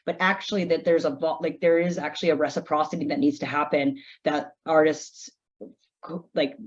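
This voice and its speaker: A woman artist on a panel, speaking reflectively and haltingly with restarts. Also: sits low, fairly even intonation, lo-fi sound. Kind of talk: casual panel discussion.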